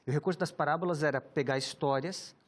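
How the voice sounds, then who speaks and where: A man with a Brazilian Portuguese accent, a pastor teaching about the Bible in a measured, explanatory way, often ending phrases with a questioning tag. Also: a little high. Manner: measured, explanatory, with many rhetorical tags.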